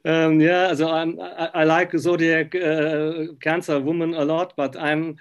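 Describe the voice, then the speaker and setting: Male interviewer, fairly high voice, halting English, informal conversational delivery. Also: little pitch movement, smooth, muffled recording. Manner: halting non-native English. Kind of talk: casual interview chat.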